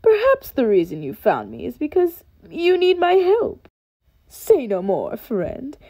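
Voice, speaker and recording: A woman's voice: high voice, wildly swooping pitch, a little slow, warm tone, voice pushed up, full character voice. A woman voicing a sly old snail shaman from a video game, theatrical and conspiratorial. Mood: sly, amused. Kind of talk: fan dub of video game dialogue.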